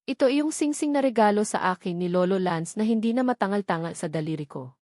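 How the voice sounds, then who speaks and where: A woman's voice: mid-range voice, lively intonation, a little fast, a little mellow, no room sound, studio quality, lightly acted. Woman reading as a pouting, headstrong young sweetheart. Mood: pouty, affectionate.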